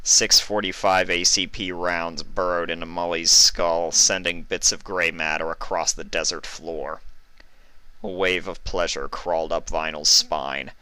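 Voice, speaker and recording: A man's voice: deep voice, fairly even intonation, unhurried, pushed and bright, smooth, no room sound, rough recording. A man reading fan fiction aloud as scripted story narration.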